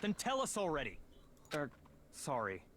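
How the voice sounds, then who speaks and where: Haughty, rattled young man, very animated acted out. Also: mid-range voice, normal speed. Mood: rattled, demanding. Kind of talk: anime game voice acting. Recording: loud music behind. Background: loud game music.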